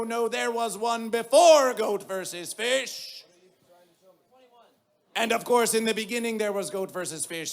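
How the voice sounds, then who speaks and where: Grandiose, booming festival emcee, gravelly. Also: male voice, fairly bright tone. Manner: grandiose, booming, sing-song proclamations. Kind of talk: theatrical stage announcing. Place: outdoor music festival stage.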